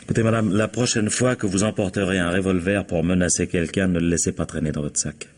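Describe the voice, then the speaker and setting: A shrewd, dry police inspector from an old-style radio mystery. His voice sits low. He questions with wry authority and keeps pressing. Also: fairly even intonation, fast, darkish tone. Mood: wry, firm. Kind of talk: vintage radio mystery acting.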